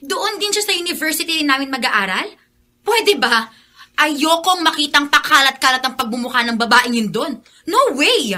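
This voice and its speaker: Shrill, haughty young rich girl. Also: expressive, normal speed, pushed and bright. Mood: indignant. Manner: snobbish, indignant, English-peppered slang. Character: spoiled, haughty young female university student, spiteful. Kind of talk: Filipino radio drama acting.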